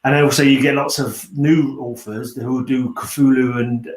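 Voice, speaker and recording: A man's voice: mid-range voice, fairly even intonation, normal speed. A man hosting a casual livestream chat, talking in an informal, rambling way. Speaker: host of a livestream read-along. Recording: roomy, echoey sound.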